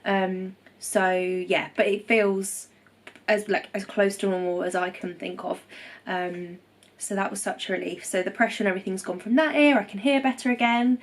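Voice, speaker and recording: A woman's voice: mid-range voice, fairly even intonation, normal speed. A young woman talking casually to camera at home, a bit stuffed-up after nose surgery, chatty and reassuring with lots of ums. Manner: chatty, reassuring, many ums and self-corrections. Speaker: vlogger. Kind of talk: casual recovery vlog to camera.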